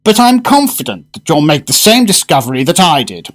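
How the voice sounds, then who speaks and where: An actor playing a fussy, fervent gourmet in a radio drama, speaking in grandiose, emphatic phrases. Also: male voice, on the high side, big pitch swings, brisk. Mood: earnest, lecturing. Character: fussy, fervent gourmet diner, a well-spoken man.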